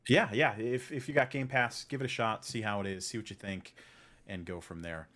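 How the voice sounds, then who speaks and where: A man's voice: fairly low pitch, steady tone, a little fast, fairly bright tone. A man hosting a gaming podcast, chatting informally and jokingly as he prompts the others for their takes. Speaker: podcast host running a news segment. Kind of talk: casual gaming podcast chat.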